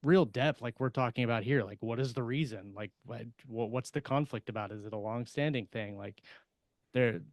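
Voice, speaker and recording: A man in a casual podcast discussion, thinking aloud in a halting, rambling way with frequent restarts. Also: medium pitch, no echo. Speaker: podcast host and author.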